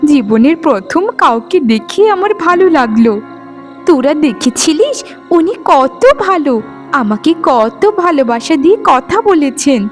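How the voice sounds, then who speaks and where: A woman voices a young maiden of the forest in a cartoon fairy tale. The voice is high, tender and earnest, like someone gentle and in love. Faint music plays behind. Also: expressive, measured pace. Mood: shy, lovestruck.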